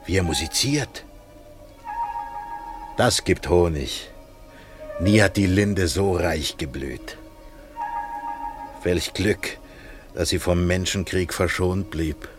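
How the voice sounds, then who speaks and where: A man's voice: low-pitched, full character voice. An actor playing a contented country father in a radio drama, savouring his words without hurry. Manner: savouring, unhurried. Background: music.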